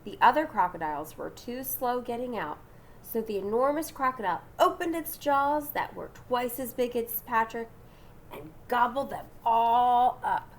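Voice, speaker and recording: A woman's voice: a little high, lively intonation, normal speed, a little hiss or hum. A woman reading a children's storybook aloud dramatically, with asides and questions to her young listeners. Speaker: storybook reader.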